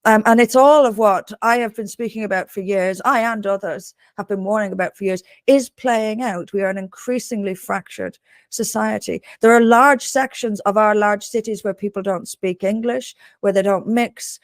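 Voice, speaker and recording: A woman's voice: medium pitch, normal speed, dry recording, studio quality. A woman from Dublin with an Irish accent, speaking earnestly as a guest author in an interview, restarting and repeating words as she presses her points. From Ireland. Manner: emphatic, repeats words when building a point.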